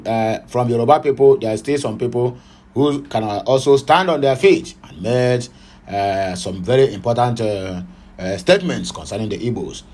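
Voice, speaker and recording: A man's voice: mid-range voice, darkish tone. A middle-aged Nigerian man with an Igbo accent hosting an informal morning news show, reading headlines with many "uh" fillers and Pidgin asides. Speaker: online news host.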